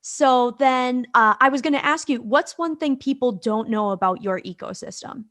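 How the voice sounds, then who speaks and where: Higher-voiced woman hosting a podcast. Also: normal speed, fairly bright tone. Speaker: podcast co-host. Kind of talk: podcast intro and interview questions.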